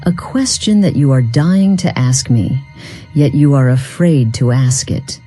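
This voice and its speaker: A woman voicing an enigmatic, proud, long-lived part-human being in a fantasy game, speaking formally and deliberately in archaic phrasing. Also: very deep voice, mellow, breathy edge, faint music behind, exaggerated voice. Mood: wry, inviting. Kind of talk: scripted fantasy game dialogue.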